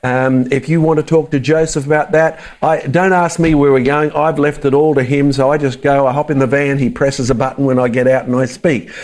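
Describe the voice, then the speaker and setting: A man's voice: mid-range voice, steady tone, fairly quick, few pauses, darkish tone. A man with a mild Australian accent giving a casual, joking church talk to an audience. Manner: chatty, joking asides to the audience. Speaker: creationist speaker.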